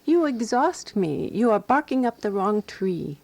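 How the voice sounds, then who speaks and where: An actress playing an exasperated, devoted woman companion who narrates a radio drama murder mystery in a measured, wry way. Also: medium pitch, very animated, a little mellow. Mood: exasperated, jealous.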